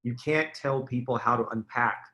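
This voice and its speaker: Male forum moderator. Earnest, conversational, measured phrasing, checks in with listeners, average-pitched voice. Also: steady tone, pushed and bright.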